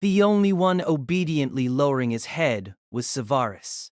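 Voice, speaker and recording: A man's voice: medium pitch, sing-song, moderate pace, no room sound, studio quality. A man reading scripted narration for a fantasy story. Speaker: narrator of a fantasy audio drama.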